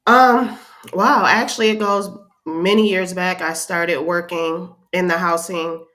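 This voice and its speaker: A woman's voice: fairly low pitch, steady tone, unhurried, fairly bright tone. A woman, a housing counselor who is a podcast guest, speaks with a stuffed-up nose from a cold, explaining things in a friendly way with some "um" fillers. She has an American Midwest accent. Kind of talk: casual interview talk.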